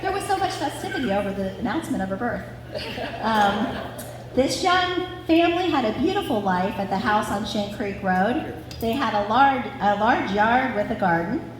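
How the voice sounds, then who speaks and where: A woman in her forties with a fairly high voice reads a family story in a chatty, sentimental way. The sound is very echoey, with long hall reverb and clear background noise. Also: expressive, normal speed. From Ohio, United States. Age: 40s. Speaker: daughter reading family story at anniversary event. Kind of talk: scripted family narration with informal asides. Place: large reverberant room at a live gathering.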